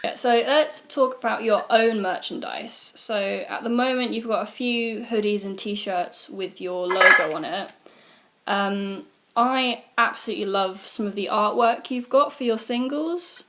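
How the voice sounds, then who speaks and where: A woman's voice: on the high side, unhurried, a bit bright. A young woman from the Channel Islands hosting a casual interview, chatting loosely with lots of "like" fillers. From Jersey, Channel Islands. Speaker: podcast interviewer.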